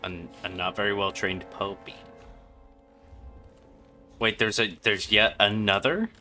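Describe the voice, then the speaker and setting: A man's voice: lowish voice, fairly even intonation, a little slow, bright, forceful tone, soft background music. A man livestreaming a video game with casual, sweary, slangy chat and reactions. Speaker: livestream gamer host.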